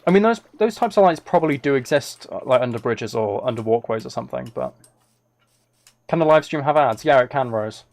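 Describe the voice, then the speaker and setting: Male game streamer, mid-pitched with noticeable fry, musing aloud in loose, casual chat. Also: expressive, a little fast, darkish tone. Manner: rambling, musing to himself while playing. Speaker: live streamer. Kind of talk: casual gaming livestream chat, thinking aloud.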